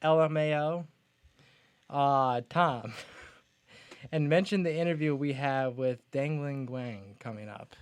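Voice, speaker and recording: A man co-hosting a podcast in casual, informal chat, agreeable and quick to interject. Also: mid-range voice, smooth. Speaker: podcast co-host.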